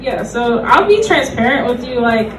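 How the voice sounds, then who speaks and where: A woman talks casually on a panel in a candid, slangy way. Her fairly high voice sounds distant and echoey, with a crowd clearly heard behind her. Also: expressive, normal speed. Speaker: panelist, comic producer. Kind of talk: casual chat. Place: convention panel room.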